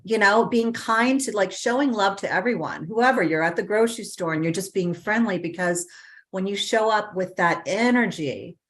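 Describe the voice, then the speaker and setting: Earnest middle-aged woman, lowish voice. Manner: earnest, animated, many fillers like "you know". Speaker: dating coach guest. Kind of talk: conversational interview. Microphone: remote call on a live stream.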